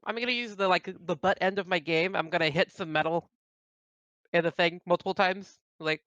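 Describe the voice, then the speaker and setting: The guy at an online game table calling out his next move, crisp and bright through a slightly muffled mic. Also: high voice, steady tone, a little fast, no echo. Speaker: player. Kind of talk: casual tabletop roleplay chat.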